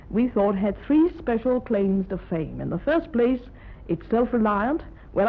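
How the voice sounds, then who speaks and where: A woman, talking emphatically and approvingly as a judge in a radio discussion. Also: mid-range voice. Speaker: competition judge. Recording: muffled, band-limited 1950s radio broadcast.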